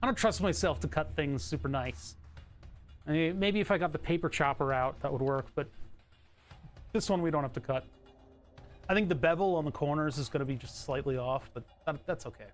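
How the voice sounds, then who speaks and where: A man streaming from his home setup, chatting casually in a rambling, self-interrupting way with a bright, trebly voice. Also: a little high, fairly quick, faint music behind.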